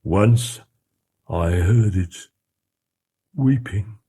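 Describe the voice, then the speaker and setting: A man voicing a frightened, loyal old male butler who talks in a hushed, fearful way. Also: fairly low pitch, extremely slow, husky, heavily acted.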